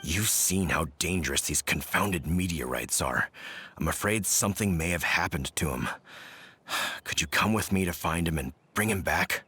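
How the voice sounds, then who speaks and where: A man playing a gruff but decent village fellow in a video game, folksy and forceful. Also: low-pitched, lively intonation, normal speed, no echo, full character voice. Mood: worried.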